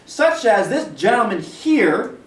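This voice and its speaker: A man's voice: high voice, very animated, slow pace, distant mic, a little hiss or hum, full character voice. A man playing a bigoted, condescending steel mill executive in a staged reading, recorded in an echoey room with the mic some way off. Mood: menacing. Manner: blustering and condescending, turning oily.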